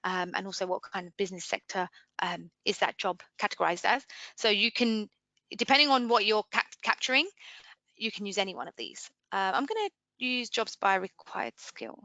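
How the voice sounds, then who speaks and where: Bright-voiced woman presenting a software webinar. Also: medium pitch, dry recording. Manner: explanatory, conversational, posing questions to listeners. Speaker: webinar trainer. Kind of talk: informal software demo presentation. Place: indoors, screen-share webinar voice-over.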